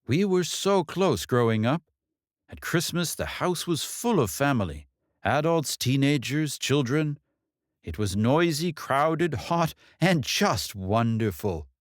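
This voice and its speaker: Guy reading as blunt older mom from an audiobook, proud and sharp tongued, pitched up, really lively. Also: slow, dry recording, studio quality. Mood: wistful. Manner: emphatic, blunt, rhetorical questioning. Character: older mother, wise, proud, sharp-tongued, bitter about in-laws.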